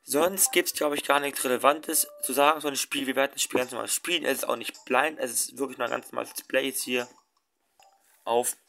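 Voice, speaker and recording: A man's voice: medium pitch, steady tone, brisk, vocal fry, bright, forceful tone. A young man hosting a casual let's play, talking in a rambling way with many fillers and restarts.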